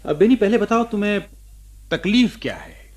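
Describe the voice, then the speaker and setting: An actor playing a genial, reassuring doctor in a Hindi radio play, jovial and confident, with bits of English. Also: male voice, high-pitched, sing-song, moderate pace. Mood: reassuring, brisk. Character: genial, reassuring hospital doctor, the patient's old schoolfriend.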